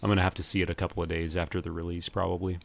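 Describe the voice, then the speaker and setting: Deep-voiced man, laid-back. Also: level tone, racing, gravelly, no room sound. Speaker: podcast co-host. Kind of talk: casual chat about films.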